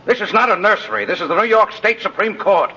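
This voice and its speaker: An actor playing an indignant, combative prosecutor, forceful, scornful and formal, in 1950s radio drama style. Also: male voice, high voice, animated, fast, breathy edge, heavily acted. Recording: dull, narrow 1950s radio recording.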